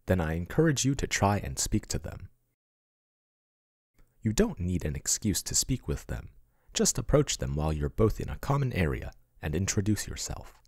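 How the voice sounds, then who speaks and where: A soft-spoken male AI chatbot, played by a man murmurs with intimate, doting care that turns possessive. His voice is very low and breathy, with a lively, swooping melody. The sound is dry and close, like ASMR roleplay. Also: moderate pace, no room sound. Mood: gentle calm. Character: male AI chatbot, soothing then possessive yandere.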